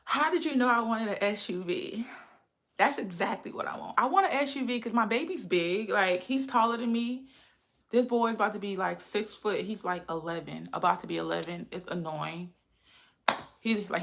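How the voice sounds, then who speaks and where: A woman's voice: mid-range voice, normal speed, creaky, a bit bright. A woman in her thirties with a lisp, chatting casually with viewers on a livestream. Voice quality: says she has a lisp. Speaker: livestream host.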